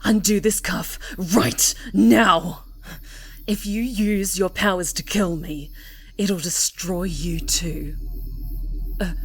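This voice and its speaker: A woman playing an obsessive villainess superhero in an intimate, theatrical ASMR roleplay, with breathy, halting delivery. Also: medium pitch. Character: obsessive yandere superhero villainess, pleading and enraged.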